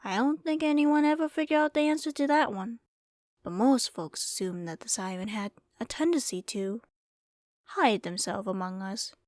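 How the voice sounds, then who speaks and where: Woman acting as old frontier saloon keeper, higher voice, folksy rambling storyteller, dry close sound. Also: expressive, moderate pace, darkish tone, dry recording, lightly acted. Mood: storytelling, hushed. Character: folksy old Wild West saloon keeper, teasing and welcoming. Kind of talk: ASMR roleplay, storytelling to the listener.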